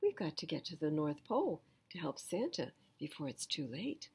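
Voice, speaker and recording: An older woman voicing a gentle, simple, earnest toy bear in a children's storybook. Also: deep voice, big pitch swings, normal speed, gravelly, slight background noise, heavily acted. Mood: dismayed. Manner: earnest, thoughtful.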